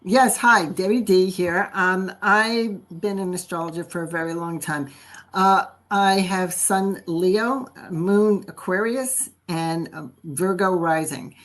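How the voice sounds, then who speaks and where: A woman's voice: fairly low pitch, takes it slowly, a bit bright. Hesitant woman with a New Jersey accent. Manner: hesitant, many fillers. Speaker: astrologer guest. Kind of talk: casual chat.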